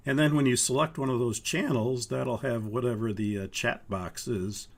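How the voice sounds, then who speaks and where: An older man from Minnesota, hoarse from a cold, walking students through a flight training course in a halting way with many pauses. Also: medium pitch, steady tone. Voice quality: gravelly, husky. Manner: halting, with frequent uhs. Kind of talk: live online course walkthrough.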